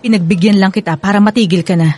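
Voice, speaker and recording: Woman acting an emphatic young woman, husky-edged. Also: medium pitch, steady tone, fast talker, dark tone, close to an everyday voice. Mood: exasperated, firm. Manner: exasperated, emphatic. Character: exasperated, firm young woman rejecting her ex-lover. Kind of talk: radio drama acting.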